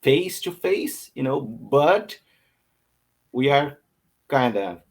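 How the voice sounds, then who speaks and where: Slow, hesitant Brazilian man learning English. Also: mid-range voice, animated, gravelly, slightly rough. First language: Portuguese. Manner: hesitant, searching for words, many "um"s. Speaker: English student. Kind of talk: casual conversation lesson. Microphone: video call.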